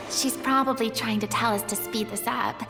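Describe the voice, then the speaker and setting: Cautious young game heroine, high and earnest, reverberant, faint music. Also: female voice, steady tone, unhurried, sharp tone. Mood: anxious. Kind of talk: video game voice acting.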